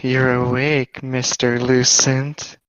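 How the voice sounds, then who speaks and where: Someone putting on a slow, sexy nurse woman. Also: medium pitch, level tone, smooth, no room sound, pitched below the performer's own voice, lightly acted, rough recording. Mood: seductive. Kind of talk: amateur dramatic reading.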